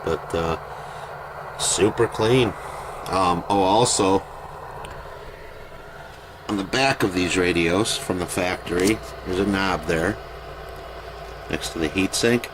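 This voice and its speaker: A man giving a casual, plain-spoken product demonstration as a private seller, in an unhurried, informal way. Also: low-pitched. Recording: noisy home video, steady background noise.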